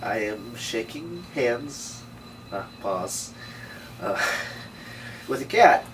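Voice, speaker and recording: A man's voice: medium pitch, expressive, extremely slow. A man playing a world-weary, middle-aged 1930s detective, narrating wryly and slangily at a table read. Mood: baffled, wry. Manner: wry, slangy. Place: room at a script table read. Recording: distant mic, faint background noise.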